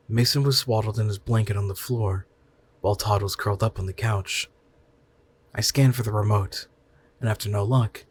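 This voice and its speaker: Husky low-voiced man reading a story. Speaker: story narrator. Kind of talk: scripted short story narration.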